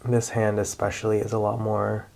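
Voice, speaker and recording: A man, chatting casually in a relaxed vlog about his doll collection, with many fillers and self-corrections. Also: on the low side, normal speed, vocal fry, slight background noise. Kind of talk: casual show-and-tell vlog.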